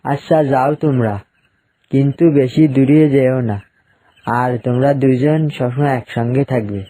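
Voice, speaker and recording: A man's voice: medium pitch, steady tone, moderate pace, warm tone, close to an everyday voice. A man voicing a caring, protective dinosaur father in a children's cartoon. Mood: gentle.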